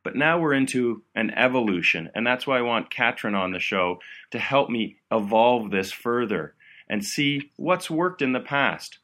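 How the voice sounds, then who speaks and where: A man's voice: mid-range voice, lively intonation, a little slow, a bit bright. Upbeat man hosting a medical podcast. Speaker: podcast host. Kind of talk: medical education podcast interview.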